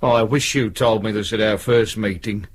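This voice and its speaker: Blunt, hard-bitten British police detective. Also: male voice, fairly low pitch, steady tone, moderate pace, breathy edge. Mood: probing. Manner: blunt, aggressive, sardonic questioning. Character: hard-bitten, bullying male police detective leading a murder inquiry. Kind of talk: broadcast radio drama acting.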